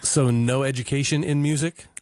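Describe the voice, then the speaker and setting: A man in his forties hosting an interview, friendly and teasing, firing quick questions. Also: mid-range voice, fairly even intonation, darkish tone, no room sound. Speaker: radio host. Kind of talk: casual interview.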